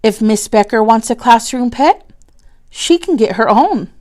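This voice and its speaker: A woman playing a kindly, sensible woman schoolteacher who is gently firm, in a storybook reading. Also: a little high, lively intonation, measured pace. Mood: surprised, firm.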